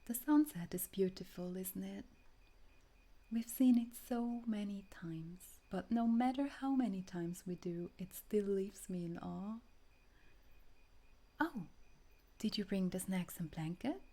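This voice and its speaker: A woman acting a tender, wistful girlfriend in an intimate romantic audio roleplay, speaking softly and breathily, often dropping toward a whisper. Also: mid-range voice, expressive, unhurried. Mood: concerned, affectionate. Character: tender, wistful time-traveling girlfriend speaking to her beloved.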